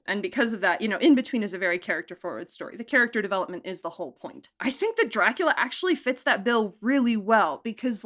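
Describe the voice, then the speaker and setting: A young woman producer and director being interviewed, talking with enthusiasm, laughing often and speaking in quick bursts. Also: mid-range voice, fairly bright tone. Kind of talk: podcast interview.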